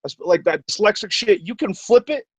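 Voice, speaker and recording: A man's voice: high-pitched, animated, moderate pace, breathy edge, no room sound. A man hosting a live stream, talking bluntly with some swearing and stuttering when he speaks off the cuff. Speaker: stream host. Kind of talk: casual chat.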